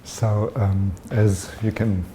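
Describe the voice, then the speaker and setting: An older man from Germany with a German accent, describing his upbringing in a measured interview. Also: on the low side, level tone, dark tone, a little hiss or hum. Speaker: interviewee, scientist. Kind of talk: reflective interview answers.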